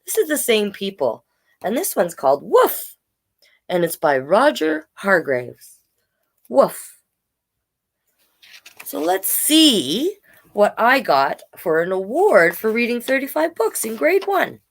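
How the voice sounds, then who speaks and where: A woman in her forties giving a casual children's read-aloud, playful and expressive, with chatty asides. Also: mid-range voice, slow pace, long pauses. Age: 40s. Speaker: children's storyteller.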